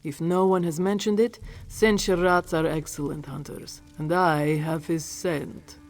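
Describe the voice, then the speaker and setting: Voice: medium pitch, steady tone, a little slow, faint music behind, close to an everyday voice. An actor voicing a sardonic, impatient cat-folk first mate of a pirate ship in a video game, blunt and sarcastic. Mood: sardonic, suspicious.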